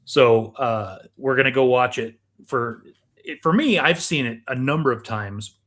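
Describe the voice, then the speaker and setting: A man's voice: medium pitch, measured pace, a bit bright. A middle-aged man, co-hosting a casual, chatty podcast with food tasting. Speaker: podcast co-host. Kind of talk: casual chat, snack tasting.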